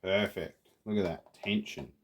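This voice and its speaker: A man's voice: low-pitched, unhurried. A playful Australian man chatting to viewers as he builds a theatre prop. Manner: playful, chatty, talks to viewers while working. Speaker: prop maker. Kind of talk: casual workshop tutorial.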